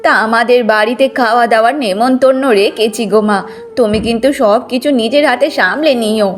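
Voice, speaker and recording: A woman's voice: fairly high pitch, moderate pace, natural voice. A woman voicing a bossy, spiteful old mother-in-law who wheedles and nags in a cartoon moral tale. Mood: wheedling, bossy. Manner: wheedling, nagging.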